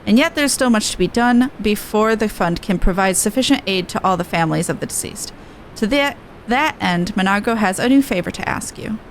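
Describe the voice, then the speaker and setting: A woman's voice: mid-range voice, animated, a little fast, slight background noise, poor audio. A woman game streamer giving casual playthrough commentary and reading quest text aloud.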